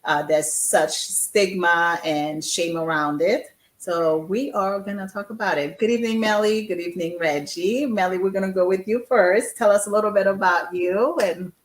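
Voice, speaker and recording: A woman hosting a chat stream, introducing guests and the topic in a measured way. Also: lowish voice, reverberant. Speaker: host.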